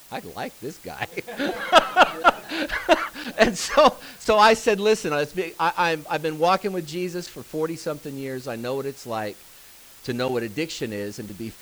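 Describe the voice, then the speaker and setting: A man's voice: mid-range voice, fairly bright tone, low-quality recording. A man, an assistant pastor telling a folksy story in a talk to church staff. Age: 50s. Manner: folksy storytelling with fillers and restarts. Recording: faint hiss.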